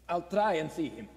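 A man's voice: on the high side, darkish tone, exaggerated voice. A man playing a nervous, reluctant saboteur who stammers and hesitates in a radio play. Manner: stammering, throat-clearing, hesitant. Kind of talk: vintage radio play acting. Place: theater stage before a live audience. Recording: echoey theater stage.

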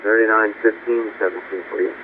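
Man, medium pitch, brief radio traffic, police radio effect, narrow band, static. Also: steady tone, brisk. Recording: tinny scanner radio with static.

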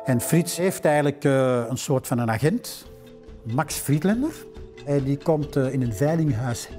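A man's voice: medium pitch, lively intonation, music in the background. Flemish man telling a museum's story. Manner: measured, storytelling with filler "eh". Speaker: museum director. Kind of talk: documentary interview storytelling. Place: library room with bookshelves. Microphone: lapel mic.

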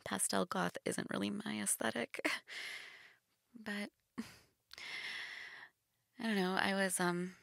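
A woman's voice: mid-range voice, steady tone, takes it slowly, gravelly, long pauses, a bit bright, dry recording, studio quality, heavily acted. A young woman playing a shy, teasing goth stepsister in an intimate audio role-play, casual, hesitant and giggly. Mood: giggly, flustered.

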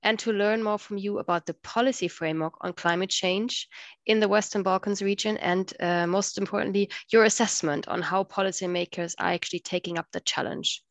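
A woman's voice: medium pitch, steady tone, brisk, no room sound. A middle-aged woman moderating an online webinar over a headset, speaking with many fillers and restarts. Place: indoor room, video call. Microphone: headset on a video call.

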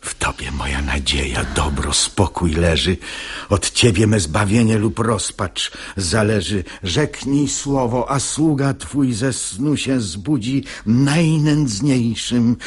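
An actor playing a sanctimonious, unctuous hypocrite who woos with lust, in a husky, breathy voice, in a verse radio drama. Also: male voice, lowish voice, expressive, exaggerated voice. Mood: ardent wooing. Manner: unctuous, slow declamation. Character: sanctimonious hypocrite man, unctuous, lustfully wooing a married woman.

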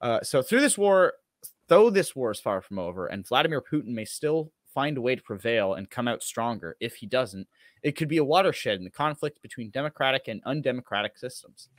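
A man's voice: mid-range voice, animated, a little fast, no echo. A sardonic man in his thirties co-hosts a casual talk show. He reads aloud and adds asides in a mid-range voice, recorded close and dry. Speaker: show co-host. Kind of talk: reading an article aloud with casual commentary. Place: indoors at home on a video call.

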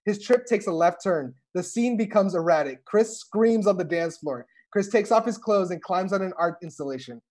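A man's voice: high voice, animated, moderate pace, hardly any pauses, darkish tone. A man narrating a script at a table read, reading the stage directions aloud over a video call. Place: indoors at home on a video call. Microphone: video call microphone.

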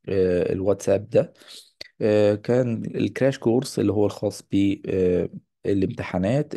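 A man's voice: lowish voice, vocal fry, dark tone. A man with an Egyptian Arabic accent giving an informal course announcement as a lecturer, mixing in English technical terms. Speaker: radiology lecturer. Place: voice-over on a slide presentation.

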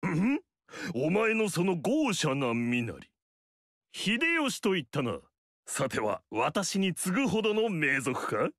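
An actor playing a pompous, vain noble warlord in a video game, speaking haughtily. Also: male voice, on the high side, big pitch swings, slow, dry recording, exaggerated voice. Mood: haughty. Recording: dry studio recording.

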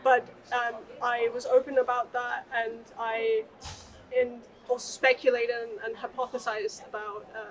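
A young woman from Austria answers questions about doctoral study in a thoughtful way, with occasional fillers and restarts. Her voice sits slightly above average pitch and sounds a bit distant from the mic in a large indoor atrium. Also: level tone, measured pace. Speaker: PhD student. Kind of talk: answering interview questions to camera.

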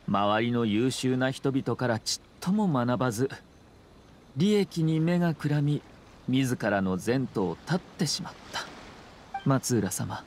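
A man playing a refined young noble commissioner in a Japanese anime game, speaking calm, formal words laced with veiled sarcasm. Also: medium pitch. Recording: game dialogue over ambient scene noise. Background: game scene ambience.

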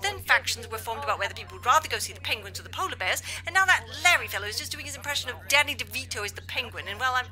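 A woman's voice: on the high side, lively intonation, very fast, hardly any pauses, sharp tone, faint music behind. A woman playing a flustered, polite, eccentric doctor in a comedy-adventure podcast, rambling in a genteel way. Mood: flustered, apologetic.

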